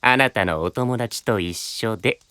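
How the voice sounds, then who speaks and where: Bright, sly, teasing young man. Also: on the low side, a little slow, clear, resonant tone, dry recording. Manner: polite, teasing, insinuating. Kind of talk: anime audio drama acting.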